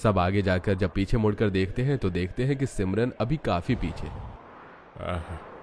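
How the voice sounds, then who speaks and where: Low-pitched male story narrator. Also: measured pace, darkish tone, slight background noise. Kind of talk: scripted cartoon story narration.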